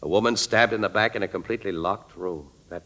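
Radio drama role: young crime-solving newspaperman, lowish voice, wry, shrewd, feels his way through questions. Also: bright, forceful tone. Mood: accusing, assured. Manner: hesitant, wry, deliberate probing. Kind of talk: old-time radio drama acting.

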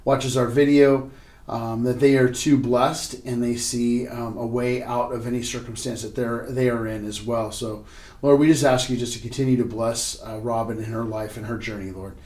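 A man's voice: medium pitch, level tone, moderate pace, darkish tone. A man speaking as a pastor and podcast host, informal, asking simple questions and giving short affirmations. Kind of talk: casual interview and spoken prayer. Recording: roomy, echoey space.

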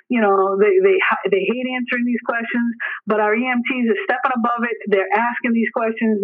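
Male emergency services leader, high voice, earnest and emphatic interview answers, narrow tinny phone-line sound. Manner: earnest, emphatic, repetitive phrasing. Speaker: emergency dispatch deputy superintendent. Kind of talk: interview answers, informal explaining.

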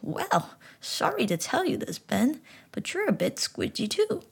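A woman voicing a small, kind talking frog that speaks warmly and with amusement. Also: high-pitched, wildly swooping pitch, unhurried, gravelly, pitched above the performer's own voice, full character voice. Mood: gentle amused. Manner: warm, amused, whispery.

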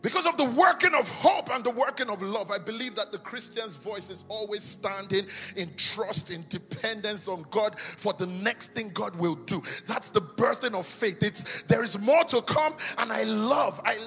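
A man preaching a sermon in a sing-song cadence that swells to shouts and falls to near-whispers. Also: sits high, expressive, moderate pace, few pauses. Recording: long reverb of a large hall with music under.

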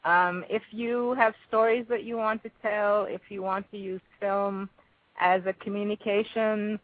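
A woman's voice: mid-range voice, steady tone, unhurried, no room sound, narrow, tinny audio. A woman with a Jamaican accent giving thoughtful, conversational answers as an interview guest.

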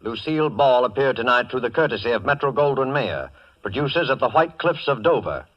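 A man's voice: medium pitch, lively intonation, fairly quick, slightly rough. A man with an American accent announcing a radio suspense drama in a formal 1940s style. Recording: dull vintage radio recording with loud music. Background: loud music.

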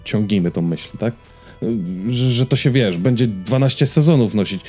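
Casual male podcast host, thirties, mellow timbre, hesitant rambling delivery, faint music bed. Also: medium pitch, moderate pace, slightly rough. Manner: rambling, hesitant, repeating words as he goes. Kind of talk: casual chat about personal habits and series.

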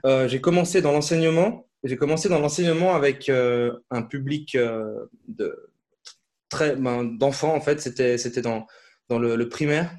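A man from Switzerland, a podcast guest and fitness coach, speaking in a relaxed, halting way with many fillers and restarts. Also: medium pitch. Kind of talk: casual podcast interview.

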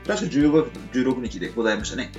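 Animated, joking male podcast host. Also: mid-range voice, racing. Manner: joking, playful, rambling with hesitations. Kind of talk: casual chat, light banter. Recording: dull lo-fi audio with faint music.

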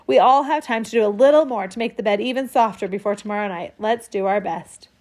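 A woman voicing a gentle, caring mother who speaks softly and reassuringly. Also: medium pitch, fast, natural voice. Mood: encouraging. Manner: soft, reassuring.

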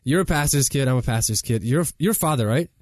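A man's voice: medium pitch, lively intonation, fast talker, no echo. Podcast host guy in his 30s, english not his first language, friendly and laughing a lot, dry close mic. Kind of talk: casual interview chat.

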